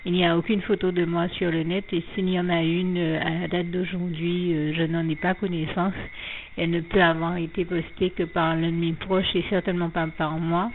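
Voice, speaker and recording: A woman with a lowish voice speaks slowly through her faith testimony, full of hesitations, fillers and restarts, with a slight frog in her throat. The sound is thin and tinny like a phone line, with faint noise behind it. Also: little pitch movement. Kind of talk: anonymous spoken faith testimony.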